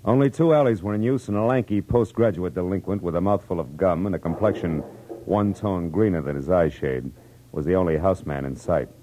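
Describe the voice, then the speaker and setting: A man's voice: sits low, brisk, close to an everyday voice. A man playing a tough, wry American private detective in 1940s radio noir style, with clipped, slangy delivery. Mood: wry narrating. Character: hard-boiled male private detective, tough, wry, world-weary. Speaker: detective narrator and lead. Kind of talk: 1940s radio noir acting and first-person narration. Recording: noisy vintage radio recording.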